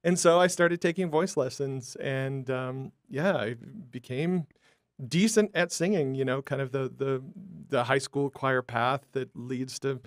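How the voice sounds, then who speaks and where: A man being interviewed as a startup founder, telling his story in a lively, talkative way. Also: mid-range voice, unhurried, no room sound, studio quality. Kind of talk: conversational interview.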